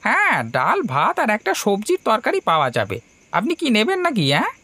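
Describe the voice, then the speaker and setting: Man doing a friendly older village shopkeeper, high creaky voice, inquisitive, cartoon dialogue, very dry sound. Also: a little fast, dry recording, heavily acted. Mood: friendly, curious. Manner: animated, familiar, questioning. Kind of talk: animated horror story dialogue.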